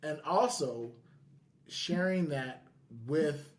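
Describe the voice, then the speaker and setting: A man's voice: on the high side, extremely slow. A man chatting casually as a podcast host, speaking earnestly and with enthusiasm.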